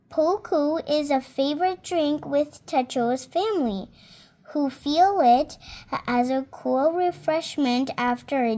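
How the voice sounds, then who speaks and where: A young reader slowly and carefully reading a picture book aloud, now and then stumbling on a word and fixing it. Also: female voice, sits high, dark tone. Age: child. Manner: slow careful reading with small slips and self-corrections.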